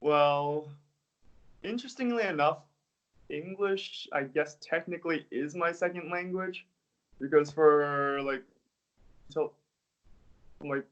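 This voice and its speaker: A young man, an English teacher, answering interview questions in a relaxed, casual way, hedging often with "like" and "I guess.". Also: a little high, slow, pauses often, highs cut off. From United States.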